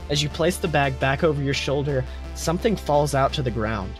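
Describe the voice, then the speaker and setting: A man hosting a podcast, telling improvised fantasy stories as game master and chatting. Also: mid-range voice. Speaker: podcast host. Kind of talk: improvised second-person fantasy storytelling and podcast chat.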